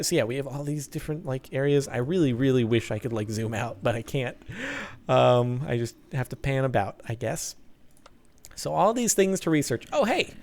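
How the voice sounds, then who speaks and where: A young man in his twenties casually commenting on his own gameplay as a let's play host, rambling and upbeat, poking fun at himself. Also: medium pitch. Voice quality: gravelly. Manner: rambling, upbeat, self-deprecating.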